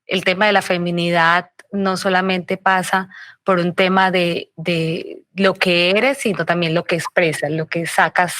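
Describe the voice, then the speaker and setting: A woman's voice: lowish voice, flat delivery, unhurried, fairly bright tone, dry recording. Woman, dry close voice. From Colombia, Pacific coast. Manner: reflective, searching for words. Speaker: journalist guest. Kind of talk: panel interview answer.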